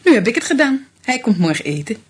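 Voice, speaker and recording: An actress playing a sharp-tongued, thrifty young wife who teases and scolds her husband, with a slightly husky voice, in a radio play. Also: a little high, very animated, fast, heavily acted. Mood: teasing. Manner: teasing, scolding.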